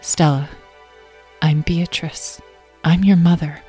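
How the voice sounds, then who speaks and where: A woman voicing a proud, strained creature mother in a story, crisp and forceful in manner. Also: low-pitched, steady tone, slow, faint music behind, exaggerated voice. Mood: careful, formal.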